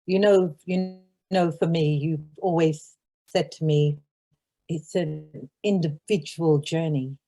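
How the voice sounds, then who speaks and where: A woman on a call poses a question and keeps rewording it. Her voice is very low, slow and mellow. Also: fairly even intonation. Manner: searching, rephrases her questions. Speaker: caller asking a question.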